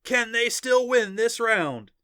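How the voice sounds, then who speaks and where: Male reader as showman sports announcer for a story, excited, high and loud, drawn-out emphasis. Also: clean tone, dry recording, studio quality, exaggerated voice. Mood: urgent excitement. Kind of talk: arena sports commentary in an audiobook.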